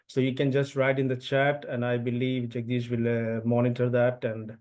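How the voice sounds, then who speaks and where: A man's voice: mid-range voice, completely flat delivery, moderate pace, a little mellow. A man from India, a native Telugu speaker, giving an online webinar talk through a computer mic, with many fillers and restarts. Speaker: event speaker. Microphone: computer mic on video call.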